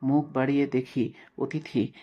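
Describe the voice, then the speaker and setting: A man giving a literature lesson as a teacher, reading and explaining haltingly, repeating phrases as he goes. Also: mid-range voice, little pitch movement. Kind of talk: lesson: reads story aloud, then explains. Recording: dull lo-fi recording.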